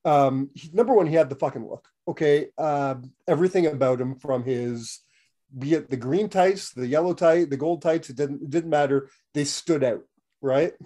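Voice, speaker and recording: A man's voice: fairly high pitch, normal speed, warm tone. Sick, rambling male podcast co-host. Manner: rambling, with many fillers and restarts. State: sick, losing his voice. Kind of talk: casual wrestling podcast chat.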